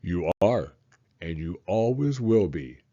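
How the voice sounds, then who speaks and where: A man voicing a gentle father in a storybook, speaking calmly and tenderly to reassure his young son. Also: sits low, slow, highs cut off, pitched below the performer's own voice, exaggerated voice. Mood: reassuring.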